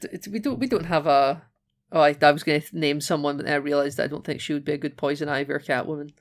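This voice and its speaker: A man's voice: on the high side, racing. A man with a Scottish accent, co-hosting a casual film podcast in a playful, chatty way with frequent restarts. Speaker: podcast co-host. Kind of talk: casual film-review chat.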